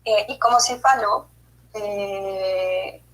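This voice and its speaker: A woman's voice: medium pitch, drawn-out words, a bit bright, poor audio. A woman presenting a chapter summary on a video call, careful and hesitant with many fillers and restarts. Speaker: study group presenter. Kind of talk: online study group presentation.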